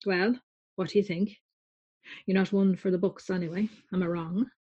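A woman's voice: lowish voice, fairly even intonation, normal speed, warm tone, breathy edge, highs cut off. A woman voicing a stern farming father who states his decisions plainly. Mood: matter-of-fact. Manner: matter-of-fact and decisive.

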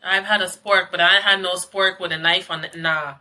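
A woman from Guyana and Trinidad, a podcast guest talking in a lively, emphatic, sassy way. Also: on the low side, moderate pace. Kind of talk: casual podcast chat.

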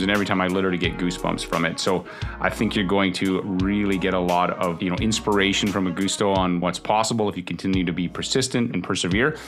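A man hosting a podcast interview, talking in a casual, upbeat way full of fillers. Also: deep voice. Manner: upbeat, fast talk with many fillers like "like". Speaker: podcast host.

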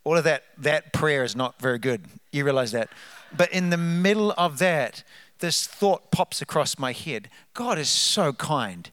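Man, New Zealand accent, slightly high voice, dry close sound, lively church testimony, rallies the crowd. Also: measured pace, no room sound. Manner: animated, hypes up the crowd, trails off into asides. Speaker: guest speaker. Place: church sanctuary.

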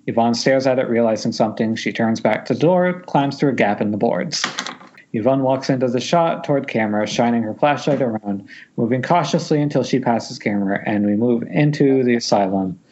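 A man's voice: mid-range voice, a little fast, a little mellow, slight background noise. Man reading stage directions, muffled call. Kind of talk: reading script narration aloud with casual asides. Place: remote group voice call. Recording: muffled internet call audio.

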